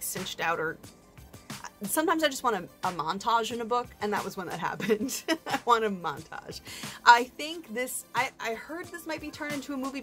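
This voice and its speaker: A woman's voice: mid-range voice, fairly bright tone. Casual female book vlogger over background music. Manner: informal and self-deprecating, with slangy asides. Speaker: book review host. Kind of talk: casual, chatty solo book review.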